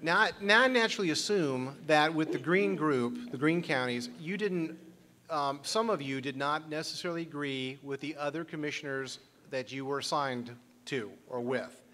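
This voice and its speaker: Guy running a conference workshop, bright slightly high voice, keeps throwing questions to the room. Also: normal speed, slight background noise. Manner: questioning, inviting the room to respond. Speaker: workshop facilitator. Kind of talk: leading a group discussion.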